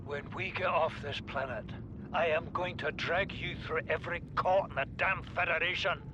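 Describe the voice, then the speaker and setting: A man plays an overbearing middle-aged father who leads a stranded crew. His fairly high voice carries indignant authority and worry, in dramatic video game style, over rumbling background noise. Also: fairly even intonation, normal speed, muffled recording, natural voice. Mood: outraged. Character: overbearing, worried father and expedition chief. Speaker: expedition chief. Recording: clear rumbling vehicle-like ambient noise.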